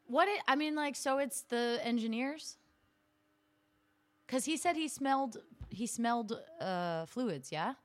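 A woman's voice: on the high side, lively intonation, a little slow. A woman chatting casually on a podcast, playful and full of questions. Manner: playful, laughs, asks questions. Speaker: podcast co-host. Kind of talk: casual chat.

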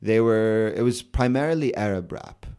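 Low, gravelly young man chatting. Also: normal speed. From United States. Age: 20s. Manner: relaxed, repeats words and fillers, many "like" and "yeah, yeah". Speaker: guest, rapper and poet. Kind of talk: casual interview chat.

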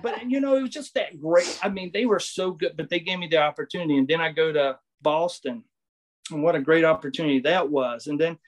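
Middle-aged man from Southwest Virginia, ex-athlete guest, a bit high-pitched, loose and rambling delivery. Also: brisk. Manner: rambling, many restarts and fillers. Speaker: guest, former baseball pitcher. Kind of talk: casual interview storytelling.

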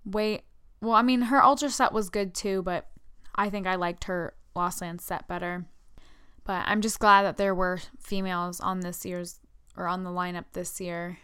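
A young woman hosting her own podcast, chatty and excited, her voice hoarse after losing it. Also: on the low side, normal speed, no room sound, clean studio sound. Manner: chatty and excited, fillers like "like" and "you know". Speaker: podcast host. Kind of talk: casual solo podcast monologue.

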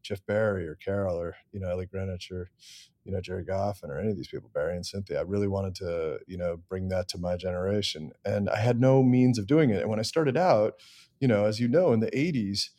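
Low-voiced middle-aged American man. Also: a little fast, dark tone. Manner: self-deprecating, candid. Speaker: interview guest, songwriter and producer. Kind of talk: conversational interview storytelling.

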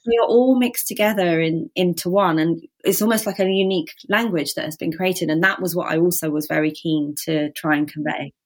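A woman's voice: on the low side, a little fast, hardly any pauses. A woman novelist, born in Britain with Gujarati as her first language, talking thoughtfully in an interview about her book, often restarting her sentences. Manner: thoughtful, with frequent restarts and "you know". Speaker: novelist guest. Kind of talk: conversational interview.

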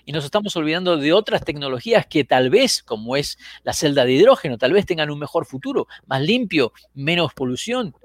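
Upbeat higher-voiced man hosting a show. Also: fairly quick, few pauses, no room sound. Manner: animated, interrupts guests, many restarts. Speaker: host. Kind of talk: casual talk show chat.

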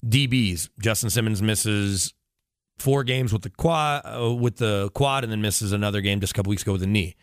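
A man's voice: fairly low pitch, normal speed, clean tone, dry recording, studio quality. A man talking as a sports radio analyst in casual conversation, opinionated and emphatic, often digressing as he makes his points.

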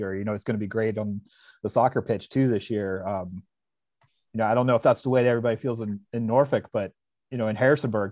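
A man's voice: fairly low pitch, a little mellow, dry recording. A man co-hosting a podcast, asking questions in an easygoing way full of fillers. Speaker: podcast co-host. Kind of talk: casual podcast chat.

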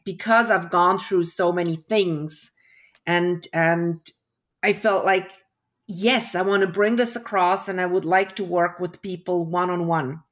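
A middle-aged woman with a lowish voice, unhurried, talking as an interview guest over a video call. She is reflective and restarts her sentences often, circling back before she lands a thought. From Switzerland. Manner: reflective, with frequent restarts and fillers. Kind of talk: casual interview conversation.

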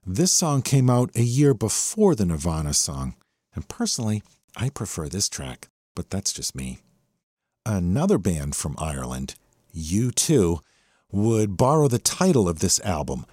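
A man hosting a music podcast, talking in a conversational, measured and explanatory way about a song, close on the microphone. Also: on the low side, darkish tone, dry recording. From USA.

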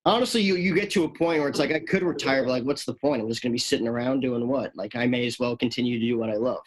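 Relaxed young man hosting an interview. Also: medium pitch, fast, low-quality recording. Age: 20s. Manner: relaxed, casual, rambling questions. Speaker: podcast host. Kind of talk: casual interview chat.

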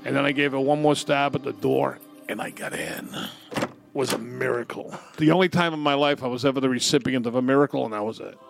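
A man's voice: medium pitch, quick pace, vocal fry, soft background music. A man co-hosting a podcast, telling comic stories casually in a profane, emphatic way. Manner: profane, emphatic, animated storyteller. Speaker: podcast co-host. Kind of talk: casual comic storytelling and banter.

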